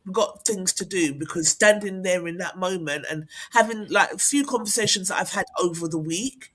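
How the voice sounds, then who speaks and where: A woman's voice: on the low side, moderate pace, a bit bright. Teasing, encouraging woman host. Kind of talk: casual interview chat.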